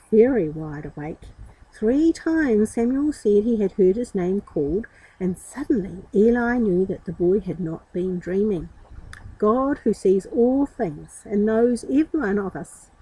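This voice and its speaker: A woman's voice: mid-range voice, mellow, slightly rough, low-quality recording. A woman storyteller reading a children's story expressively, with informal asides.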